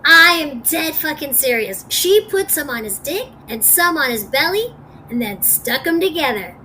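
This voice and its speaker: Bright, high-voiced hot-headed young gangster played by a woman. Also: big pitch swings, moderate pace, a little hiss or hum, full character voice, low-quality recording. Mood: crude amusement. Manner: confrontational, sarcastic interrogating. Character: hot-headed gangster boss's loyal child, foul-mouthed and suspicious. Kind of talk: audio drama acting.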